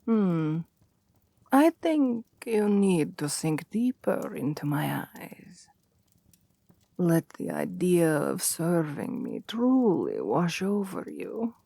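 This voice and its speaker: A woman's voice: lowish voice, huge pitch swings, slow, no room sound, full character voice. A woman voicing a seductive, domineering vampire queen in an intimate ASMR roleplay, haughty and possessive, with a languid, commanding purr that often drops toward a whisper. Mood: seductive, coaxing. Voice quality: breathy, often dropping to whispers. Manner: languid, condescending, commanding purr with soft chuckles.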